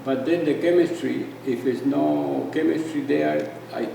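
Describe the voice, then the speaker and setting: A man's voice: a little high, vocal fry, warm tone, slight background noise. A man in the audience asking a hesitant question from across the room. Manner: hesitant, halting. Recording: distant, echoey hall with faint ambience.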